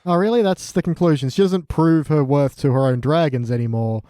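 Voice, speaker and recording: A man's voice: fairly high pitch, brisk, mellow, no room sound, studio quality. A man hosting a podcast, chatting casually as he reviews a TV show. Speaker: podcast host.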